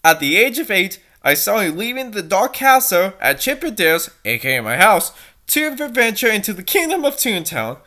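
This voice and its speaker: A woman's voice: medium pitch, very animated, moderate pace, pushed and bright. A woman voicing a melodramatic, edgy fourteen-year-old cat princess from a fanfiction, in an overdramatic, mock-serious manner. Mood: self-important, dramatic.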